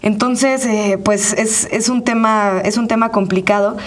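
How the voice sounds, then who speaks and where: A woman with a Mexican accent co-hosting a conversational interview, pausing as she searches for words. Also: fairly high pitch, steady tone. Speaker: co-host.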